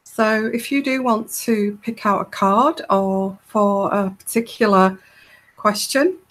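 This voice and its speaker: Soft-voiced woman chatting slowly. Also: mid-range voice. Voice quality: soft, soothing voice. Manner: rambling, hesitant, many fillers and restarts. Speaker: tarot reader hosting a live Q&A. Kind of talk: casual live-stream chat.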